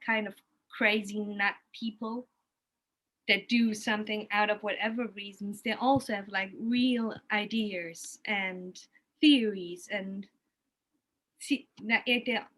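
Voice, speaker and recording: A woman with a German accent, a native German speaker, casually explaining her work in a halting way as she thinks aloud. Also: mid-range voice, fairly even intonation, fairly bright tone, poor audio. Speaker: interviewed art educator giving museum tours.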